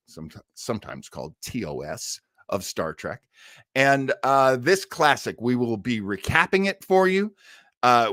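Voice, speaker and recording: A man hosting an old time radio livestream in a casual, chatty, enthusiastic way, often rambling and pausing to search for words. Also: medium pitch, a bit bright, dry recording, studio quality.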